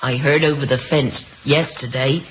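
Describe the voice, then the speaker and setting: An actress playing a sceptical, gossiping household cook in an old-time radio drama, dry and knowing. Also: female voice, rumbling low, steady tone, a little slow, poor audio. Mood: sceptical, gossipy. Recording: hissy vintage radio recording.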